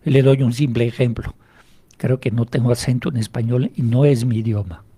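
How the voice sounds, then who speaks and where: Man, mellow voice. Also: medium pitch, fairly even intonation, breathy edge. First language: French. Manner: explanatory, measured, asks and answers own questions. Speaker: hypnotherapist guest. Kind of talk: radio interview. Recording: radio interview.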